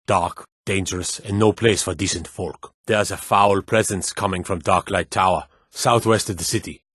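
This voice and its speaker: A man voicing a stern, dutiful fantasy city guard in a video game, speaking in a gruff, formal, clipped way. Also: deep voice, steady tone, normal speed, a bit bright, no echo. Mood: wary, advising. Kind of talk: scripted game character dialogue.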